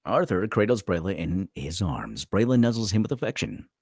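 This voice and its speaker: A man's voice: lowish voice, expressive, normal speed, darkish tone, breathy edge, no room sound, studio quality. A man reading screenplay stage directions aloud as a dramatic narrator at a table read.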